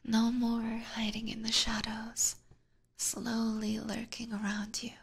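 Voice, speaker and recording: A woman's voice: fairly high pitch, completely flat delivery, slow, heavily acted. A woman voicing an obsessive, seductive vampire catgirl in an ASMR roleplay, whispering intimately and teasingly into a close binaural microphone with sweet menace. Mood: possessive, obsessed. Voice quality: breathy.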